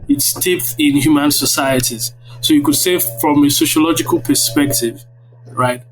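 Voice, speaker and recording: A man's voice: fairly high pitch, moderate pace. A man in his thirties with a Nigerian accent, answering interview questions like a lecturer, phrasing hesitantly and checking with tags. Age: 30s. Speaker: guest, university lecturer. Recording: very noisy online audio-room connection.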